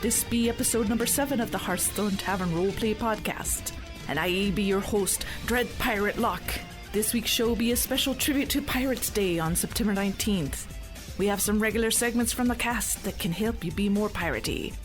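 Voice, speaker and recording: A woman's voice: medium pitch, normal speed, close to an everyday voice. Woman as pirate captain host over music. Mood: hearty, informative. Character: pirate captain hosting a show, hearty. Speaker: podcast host. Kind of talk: scripted narration in pirate slang.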